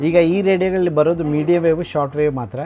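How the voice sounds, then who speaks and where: A man's voice: a little high, fast. Middle-aged man, dull lo-fi recording. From Karnataka, India. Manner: explanatory, with English words mixed in. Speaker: radio collector and museum founder. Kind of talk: informal guided tour talk. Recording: dull lo-fi sound, music behind. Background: music.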